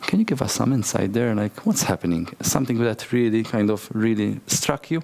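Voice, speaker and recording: A man's voice: lowish voice, expressive, brisk, creaky, a little mellow. A man moderating a conference panel in an upbeat way, with playful asides to the audience. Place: large conference hall.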